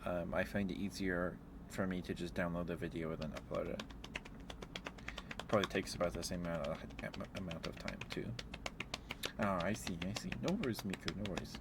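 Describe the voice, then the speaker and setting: Guy streamer doing rambly asmr chat, lowish voice, halting n giggly, typing and noise behind. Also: steady tone. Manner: hesitant, halting, chuckling, apologetic.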